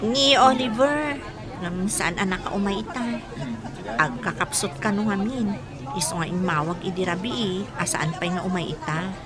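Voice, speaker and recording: A woman playing a lively, girlish young market vendor in an Ilocano radio drama. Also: medium pitch, normal speed, over a noisy crowd, lightly acted. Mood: wistful.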